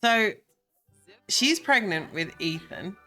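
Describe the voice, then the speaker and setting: Slow, bright-voiced gamer with a cold. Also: medium pitch, expressive, faint music behind. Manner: rambling and chatty, with many ums and restarts. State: stuffed up with a cold, a bit croaky. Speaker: Sims gameplay creator. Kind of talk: casual gameplay commentary.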